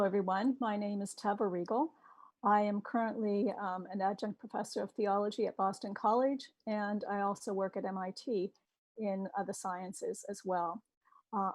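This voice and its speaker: A woman theology professor introducing herself on a panel, soft-spoken and a little halting. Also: mid-range voice, no echo, low-quality recording. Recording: dry close sound.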